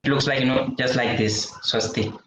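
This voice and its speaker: A man's voice: mid-range voice, fairly even intonation, normal speed, fairly bright tone. A man with an Indian English accent practicing conversation on a video call, speaking hesitantly with many fillers. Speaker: English learner. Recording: muffled echoey room with noise.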